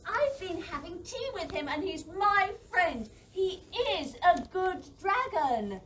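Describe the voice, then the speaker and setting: A woman's voice: extremely high pitch, very animated, takes it slowly, a bit bright, exaggerated voice. A woman playing an earnest, pleading young farm boy in an audio play. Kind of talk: community audio play acting. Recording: distant mic with faint background noise.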